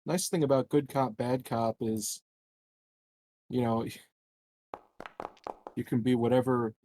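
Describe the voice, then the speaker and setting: A man's voice: medium pitch, steady tone, slow pace, dark tone, dry recording, rough recording. A man playing a tabletop game, chatting casually in a jokey, rambling way. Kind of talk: casual joking chat.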